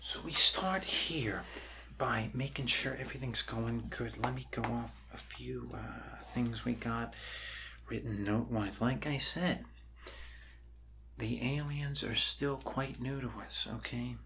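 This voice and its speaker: A man doing an improvised, soft-spoken ASMR roleplay as an awkward, reassuring doctor, rambling hesitantly and apologetically. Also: mid-range voice, steady tone, takes it slowly. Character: awkward, unconventional, reassuring doctor welcoming an alien. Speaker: ASMR performer playing a doctor. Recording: clear background noise.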